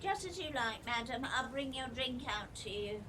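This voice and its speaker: An actress playing a young waitress in an audio play, clipped and off-handedly polite with a stubborn streak. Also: female voice, lively intonation, normal speed, bright, forceful tone. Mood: briskly polite. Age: young adult. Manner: clipped, off-hand service politeness. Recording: background noise, low rumble.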